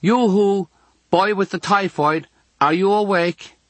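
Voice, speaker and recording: A man plays a cheeky Irish girl of thirteen from a storybook. The voice is lifted high and calls out in a teasing sing-song. The sound is close and dry. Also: slow, dry recording. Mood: curious, calling out. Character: lively, cheeky thirteen-year-old Irish girl in hospital.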